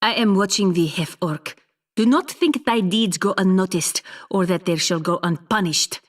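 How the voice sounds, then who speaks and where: A stern, sharp-tongued adventurer woman, voiced by an actress in a fantasy game. Her medium-pitched voice is firm and formal, protective and unbending. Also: normal speed, lightly acted. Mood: stern warning. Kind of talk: video game voice acting.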